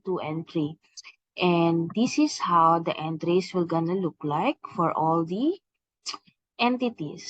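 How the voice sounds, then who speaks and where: Female software trainer, lowish voice, hesitant step-by-step walkthrough, dry but slightly dull recording. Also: no room sound. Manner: instructional, with frequent hesitations and repeated "okay" and "please take note". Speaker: software trainer presenting a tutorial. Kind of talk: step-by-step software demonstration, semi-scripted explanation.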